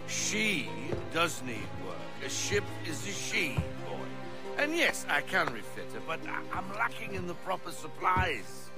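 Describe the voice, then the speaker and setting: A crusty old sailor, with a creaky, high-pitched voice that leaps wildly up and down. He is cantankerous and theatrical, talking in salty nautical terms like a game character, with music playing behind him. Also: big pitch swings, unhurried, heavily acted. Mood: gruff. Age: older. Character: cantankerous, superstitious old sailor who adores his ship. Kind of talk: video game cutscene acting.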